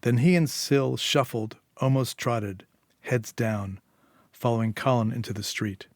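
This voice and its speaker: A man's voice: mid-range voice, measured pace, no room sound, studio quality. A man narrating a literary short story as its author. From United States.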